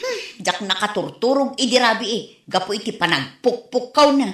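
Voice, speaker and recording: A woman playing a scolding, exasperated wife and mother in an Ilocano Filipino comedy audio drama, in an animated, nagging way. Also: fairly high pitch, moderate pace, echoey room. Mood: indignant, gossiping.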